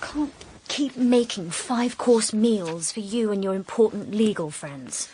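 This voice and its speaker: An English actress playing a frustrated wife who speaks bluntly and with exasperation in a radio drama. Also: female voice, medium pitch, lively intonation, a little slow, mellow, slight background noise, natural voice. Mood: exasperated. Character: frustrated wife leaving her husband.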